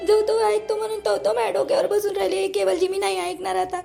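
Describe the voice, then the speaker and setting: A woman's voice: extremely high pitch, level tone, fast, gravelly, mellow, faint music behind, exaggerated voice. A woman voicing an outspoken, indignant young wife in a cartoon, arguing back in a Vidarbha Marathi accent. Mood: tearful, hurt. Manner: argumentative, rhetorical questions. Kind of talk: cartoon voice acting.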